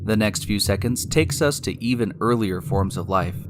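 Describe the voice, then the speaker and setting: A man hosting and narrating a scripted science story in a deliberate, explanatory way, speaking directly to the listener. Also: on the low side, background noise. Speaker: podcast host and narrator. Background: music.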